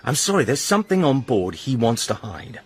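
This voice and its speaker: An actor playing a determined, suspicious British commander in a radio drama, husky and gravelly, polite but insistent. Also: male voice, medium pitch, big pitch swings, brisk. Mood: firm, insistent.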